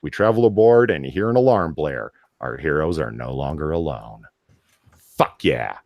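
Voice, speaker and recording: A man hosting a casual live chat, excitable and emphatic, prone to swearing, who sometimes reads aloud. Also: very deep voice, normal speed, creaky, no room sound, clean studio sound. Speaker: podcast host.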